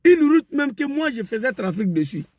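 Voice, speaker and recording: A man delivering an impassioned political rant, emphatic and repetitive, rising to shouts. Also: very high voice, big pitch swings, moderate pace, dry recording. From West Africa. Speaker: political commentator.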